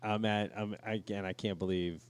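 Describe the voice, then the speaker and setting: A man's voice: on the low side, flat delivery, moderate pace, clear, resonant tone, no echo. A man co-hosting a podcast in casual, bantering chat. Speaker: podcast co-host.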